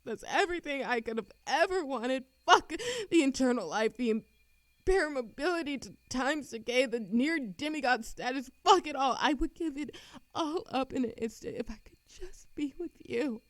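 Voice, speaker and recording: A woman's voice: high-pitched, lively intonation, normal speed, no echo. A woman acting a lovesick, world-weary immortal in a scripted romantic audio roleplay, with a dramatic, yearning delivery. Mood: anguished passion.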